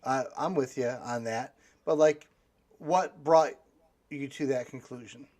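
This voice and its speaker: A man hosting a casual interview in a halting, conversational way with dry humour. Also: medium pitch, a little mellow. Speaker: interview host.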